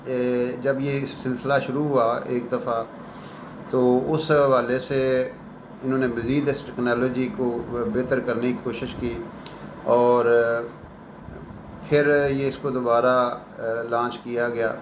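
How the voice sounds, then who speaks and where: A man giving unscripted current-affairs commentary as a professor, in an earnest, halting way with pauses and repeated phrases. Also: mid-range voice, level tone. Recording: echoey room with clear background noise.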